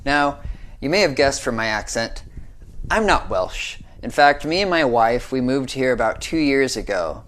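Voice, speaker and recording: A man's voice: medium pitch, lively intonation, a little slow, a bit bright. A young American man giving a short devotional talk in an earnest, conversational way, often checking in with "right?". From United States.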